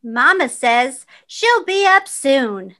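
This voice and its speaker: High, slow-paced woman reading a storybook. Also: sing-song, sharp tone. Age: adult. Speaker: co-reader of a children's picture book. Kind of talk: expressive read-aloud storytelling for children.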